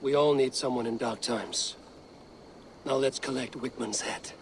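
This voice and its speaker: An actor playing a loyal, stoic Viking warrior in a video game, speaking in a grave, measured way. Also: male voice, medium pitch, slight background noise, natural voice. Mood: grim. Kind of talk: dramatic video game acting. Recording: loud music behind the voice.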